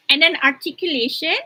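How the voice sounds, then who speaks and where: A woman's voice: sits high, pushed and bright, rough recording. A young woman lecturer with a Malaysian accent, giving an online class on presentation skills in a chatty, teasing way, mixing English and Malay.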